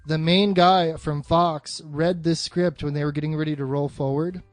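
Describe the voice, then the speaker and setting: A man's voice: on the high side, fairly even intonation, moderate pace, dry recording, soft background music. A man in a casual film discussion as a co-host, blunt and swearing often.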